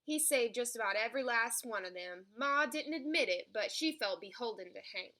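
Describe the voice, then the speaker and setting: A woman reading a children's picture book aloud in an expressive storytelling style. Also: a little high, a little fast. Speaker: storybook reader.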